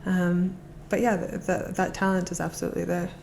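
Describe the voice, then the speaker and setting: Woman, podcast guest, lowish voice, gravelly, eager, stop-start phrasing. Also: level tone, a little fast, darkish tone, slight background noise. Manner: eager, restarts phrases often. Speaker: guest, art auction specialist. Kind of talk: casual conversation.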